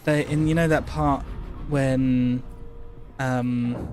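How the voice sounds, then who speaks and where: A man's voice: medium pitch, fairly even intonation, very slow, music in the background. A man co-hosting a casual gaming livestream, thinking aloud in a rambling, sweary way. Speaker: game streamer co-host.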